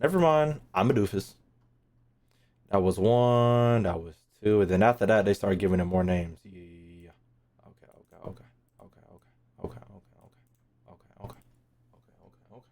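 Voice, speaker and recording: A man's voice: lowish voice, moderate pace, pauses often, a little mellow. A man doing casual livestream commentary on a game, slangy and sweary, muttering short reactions. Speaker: streamer reacting to game lore.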